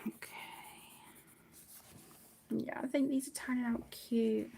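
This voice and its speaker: A middle-aged woman chatting casually on a livestream, affectionate and apologetic, with phrases that trail off softly. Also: a little high, fairly even intonation, takes it slowly, gravelly, a little hiss or hum. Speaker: livestream host of an adult colouring channel. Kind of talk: casual livestream chat while colouring.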